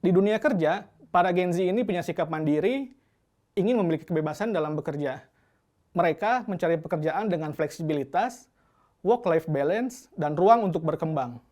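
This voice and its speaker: Narrator, casual scripted voice-over, medium pitch, poses rhetorical questions. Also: darkish tone. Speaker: narrator and off-camera interviewer.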